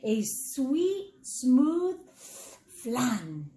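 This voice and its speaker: Casting: high-voiced woman teacher, expressive children's read-aloud narration, addresses the kids directly, echoey room sound. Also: very slow. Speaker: reading intervention teacher.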